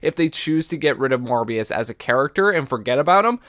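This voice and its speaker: A man's voice: mid-range voice, animated, fast, fairly bright tone, dry recording, clean studio sound. A man hosting an online video, delivering scripted movie news commentary with emphatic, rhetorical questions. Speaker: YouTube host.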